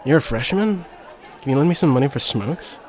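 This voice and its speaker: A man's voice: on the high side, lively intonation, fairly quick, some chatter behind, natural voice. A man playing a pushy, slangy teenage boy delinquent in an audio book drama. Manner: slangy, wheedling. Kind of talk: audio book character acting.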